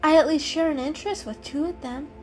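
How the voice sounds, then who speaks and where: A woman voicing a gentle, anxious female cat with an earnest, hesitant manner in a dramatic storybook reading. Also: high-pitched, fast talker, voice pushed up, close to an everyday voice. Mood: reflective. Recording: music behind the reading.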